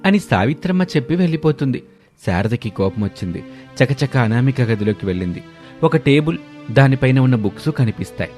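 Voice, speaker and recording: A man's voice: mid-range voice, expressive, fairly quick, a little mellow, soft background music. A man narrating a scripted moral family story as a storyteller.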